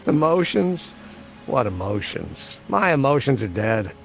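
An actor playing a nervous, lovestruck young inventor in a video game, with a formal, theatrical, excitable manner. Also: male voice, mid-range voice, takes it slowly, creaky, a little hiss or hum, full character voice. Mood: bitter, despondent. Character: nervous, lovestruck young male inventor, easily flustered and dramatic. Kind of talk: animated video game acting.

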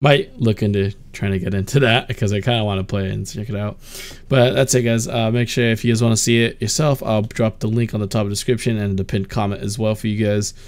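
Gravelly laid-back young man reacting. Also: fairly low pitch, quick pace, few pauses. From United States. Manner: laid-back, excitable bursts, laughs often. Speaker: YouTube reactor. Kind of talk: casual live reaction commentary. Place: small room at home. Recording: game trailer music playing behind.

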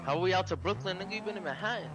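A man's voice: sits high, racing. A man chatting casually in a roleplay game in slangy street talk. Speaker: player in a GTA roleplay session. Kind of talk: casual in-character gaming chat. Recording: loud car noise behind. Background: game car driving sounds.

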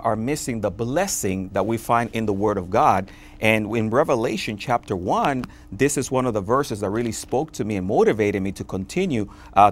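A man from the Dominican Republic, a pastor, speaking earnestly as a guest in a television studio interview, often pausing on "uh". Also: medium pitch. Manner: earnest, fervent, with frequent filler "uh". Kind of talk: conversational testimony. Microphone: lapel mic.

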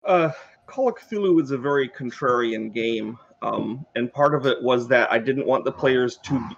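A man's voice: mid-range voice, moderate pace, creaky, muffled recording. A man in his sixties, a game designer explaining his thinking in a panel discussion over a video call. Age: 60s. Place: book-lined room, video call.

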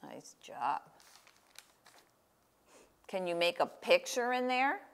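A woman's voice: fairly low pitch, sing-song, slow, gravelly. An older woman hosting a children's story time and craft, speaking in simple, encouraging phrases and questions to a young child. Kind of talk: reading aloud and guiding a child through a craft. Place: small indoor room with table. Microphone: lapel.

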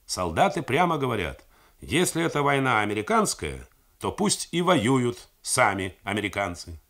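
A man's voice: medium pitch, very animated, normal speed, a bit bright, voice pushed up. A man reading the part of an earnest intelligence officer delivering reports with keen zeal.

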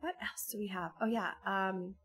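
A woman's voice: medium pitch, lively intonation, moderate pace, a bit bright, breathy edge. A woman walking through a busy store with a handheld phone, chatting casually as a vlogger while she keeps losing her voice, with frequent ums and little laughs. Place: busy retail store, indoors. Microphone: handheld phone camera.

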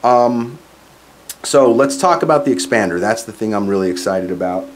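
Male, 40s, lowish voice, informal musician reviewing live sound gear, clear background noise on the recording. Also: animated, normal speed. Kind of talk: casual product demo. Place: small curtained home studio, indoors. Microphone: microphone on boom stand into PA, plus some room sound.

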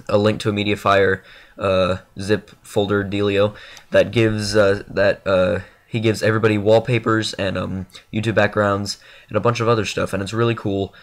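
Stuffed-up young man rambling over gameplay. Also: lowish voice. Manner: rambling, filler-heavy, self-deprecating. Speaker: gaming YouTuber. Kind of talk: casual channel update over gameplay.

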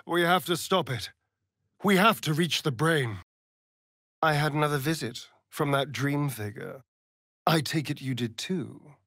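An actor voicing a vain, sardonic vampire elf rogue in a video game, with an arch, drawling, theatrical delivery. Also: male voice, on the high side, measured pace, a bit bright, no room sound, clean studio sound. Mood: measured, serious. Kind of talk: video game character voice lines.

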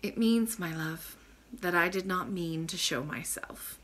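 A woman voicing a kind, wise, ancient grandmother in a storybook, gentle, measured and formal. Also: fairly low pitch. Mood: patient, tender.

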